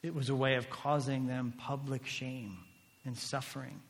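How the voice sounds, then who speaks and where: A man preaching a sermon as a pastor, emphatic, swinging between loud declarations and hushed, intense lines. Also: medium pitch, flat delivery, moderate pace. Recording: long hall reverb.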